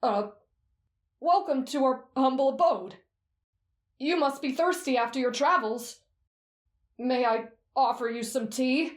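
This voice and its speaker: A woman's voice: high voice, unhurried, pauses often. An earnest, kind-hearted teenage boy, put on by a woman, speaks politely and sincerely. The voice sits in a roomy, echoing space. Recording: roomy echo.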